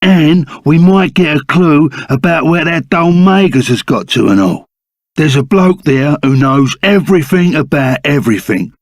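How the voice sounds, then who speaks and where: An actor playing a gruff, loyal, blustering Cockney ex-bandit in a video game, full of rough, matey slang. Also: male voice, fairly high pitch, lively intonation, moderate pace, no room sound. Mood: matey, chipper. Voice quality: gruff, gravelly. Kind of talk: video game character acting.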